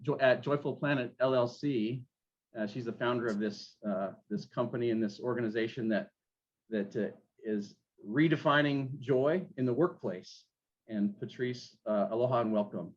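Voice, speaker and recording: A man hosting an interview, asking questions in a halting way with many restarts. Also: medium pitch. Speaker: podcast host. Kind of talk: casual interview. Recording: dull lo-fi sound.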